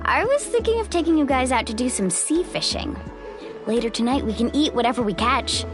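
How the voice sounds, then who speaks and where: A woman's voice: high voice, very animated, fairly quick, over music. A woman playing a friendly, easygoing young guide in a video game, with a breezy, casual and reassuring manner. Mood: friendly, upbeat. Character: Mualani, friendly young female water-tribe guide, easygoing and upbeat. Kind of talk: video game character acting.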